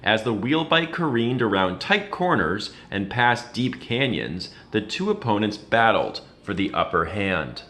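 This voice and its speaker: A man reading a children's story aloud with expressive, dramatic storytelling. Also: on the low side, unhurried, few pauses, slight background noise. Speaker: reader.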